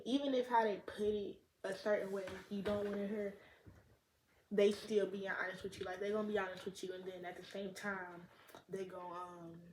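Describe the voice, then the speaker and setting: A teenage girl with a slight lisp, chatting casually and rambling in slang as a vlogger, recorded on a tablet. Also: medium pitch. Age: teens. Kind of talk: casual chat to camera. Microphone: tablet camera mic. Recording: echoey room, faint noise.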